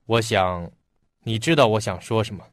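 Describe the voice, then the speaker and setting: A man as a tense young suitor, low voice, grave and deliberate, dry close sound, radio drama. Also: animated, dry recording, lightly acted. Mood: tense, earnest. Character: serious young male suitor, tense and guarded. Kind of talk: Mandarin radio drama acting.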